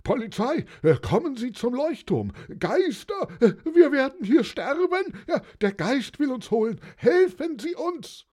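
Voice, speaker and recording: A panicky male swindler in a storybook tale, acted out by a man, blustering like a fake nobleman. He stammers out hectic commands in a high, wildly swinging voice. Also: sing-song, unhurried, few pauses, a little mellow, full character voice. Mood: terrified.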